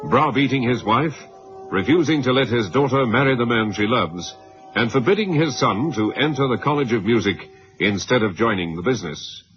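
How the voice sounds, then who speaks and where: Animated radio announcer man over music. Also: mid-range voice. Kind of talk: scripted radio drama introduction. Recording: dull old radio broadcast with music.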